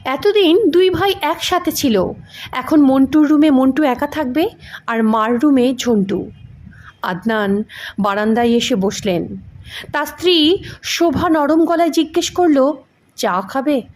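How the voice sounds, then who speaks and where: A woman's voice: sits high, animated, a little slow, pauses often, a little mellow. A woman reading a ghost story as dramatic scripted narration. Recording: music under the voice. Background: background music.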